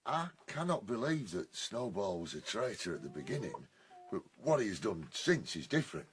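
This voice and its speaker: A man's voice: lowish voice, big pitch swings, normal speed. A man playing an honest, loyal but doubtful farm animal in a radio drama, speaking earnestly. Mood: doubtful, troubled.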